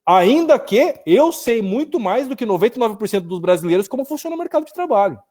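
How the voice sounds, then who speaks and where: A Brazilian man with a Brazilian Portuguese accent speaking from his office in a casual live discussion, telling stories in an animated way and often calling his listener "cara". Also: very high-pitched, fast. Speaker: business owner and co-host. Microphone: video call.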